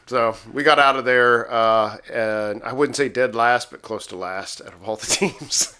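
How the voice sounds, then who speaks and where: A man's voice: medium pitch, a little slow, pushed and bright, slight background noise. A man of about fifty chatting casually as a co-host, with a self-deprecating way of talking. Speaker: podcast co-host. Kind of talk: casual chat.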